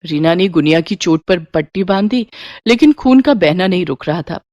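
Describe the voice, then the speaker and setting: Female storyteller for scripted moral tales, animated intonation, studio-dry sound. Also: on the low side, normal speed, dry recording, lo-fi sound.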